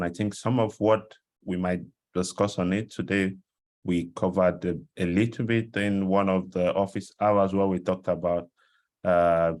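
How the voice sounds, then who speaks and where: A man giving an explanatory presentation on a video call, haltingly searching for words. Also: low voice, a little mellow. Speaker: presenter of a learning module. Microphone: headset on a video call.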